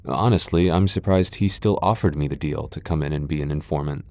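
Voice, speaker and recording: A man's voice: a bass voice, fairly quick, a little mellow, no room sound. A man playing a proud, sardonic vampire lord in a fantasy ASMR roleplay, with a dry, sighing delivery. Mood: dry, disdainful. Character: proud, sardonic male vampire lord, cornered yet defiant. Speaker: voice actor in a roleplay audio.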